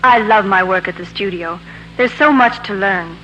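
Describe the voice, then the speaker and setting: A woman's voice: medium pitch, moderate pace, a bit bright, dull audio. A woman giving a scripted, rehearsed 1940s radio testimonial as a guest, in a prim manner. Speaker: film studio actress, commercial guest. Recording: old radio broadcast with faint hiss.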